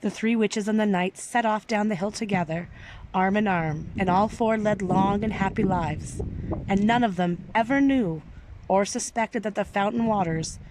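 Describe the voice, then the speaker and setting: Female storyteller, medium pitch, expressive and theatrical read-aloud delivery, noticeably noisy home-style recording. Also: moderate pace. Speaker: storyteller reading aloud to an audience. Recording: clearly noisy background.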